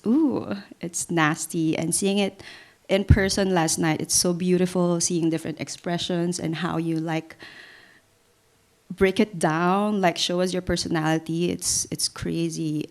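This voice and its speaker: Slow-talking woman, lowish voice, really into it, podcast guest. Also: a little mellow. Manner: enthusiastic, unhurried. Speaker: panel guest. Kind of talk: live podcast chat.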